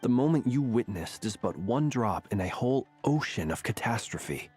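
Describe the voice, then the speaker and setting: An actor playing a solemn, courteous, centuries-old gentleman in a video game, speaking husky, measured, formal lines. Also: medium pitch, lively intonation, soft background music. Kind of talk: video game character acting.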